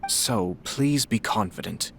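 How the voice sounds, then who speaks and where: Calculating noble clan head, smooth and polite, faint hiss behind. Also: male voice, fairly low pitch, expressive, fairly bright tone, full character voice. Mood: composed. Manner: smooth, courteous, measured. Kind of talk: video game voice acting.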